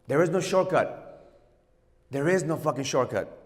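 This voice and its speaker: A man's voice: on the high side, animated, unhurried, long reverb tail. A middle-aged man from Ecuador, a native Spanish speaker, giving a blunt, profane sales keynote and mixing Spanish with English. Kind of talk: motivational keynote talk. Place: hotel conference hall.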